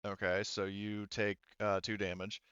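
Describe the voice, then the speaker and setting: A man's voice: fairly low pitch, little pitch movement, a little slow, lo-fi sound, background noise. A man acting as game master, narrating and refereeing a tabletop roleplaying game in an informal, hesitant, think-aloud way.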